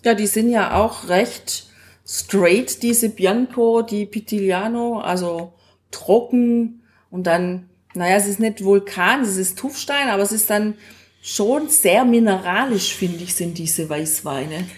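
A woman's voice: medium pitch, slow pace, darkish tone. A woman co-hosting a podcast, chatting casually and colloquially about travel. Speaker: podcast co-host. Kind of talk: casual conversational travel talk.

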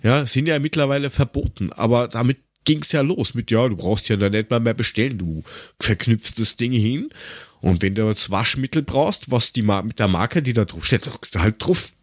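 A man's voice: mid-range voice, moderate pace, no room sound, clean studio sound. A man chatting casually as co-host of a podcast.

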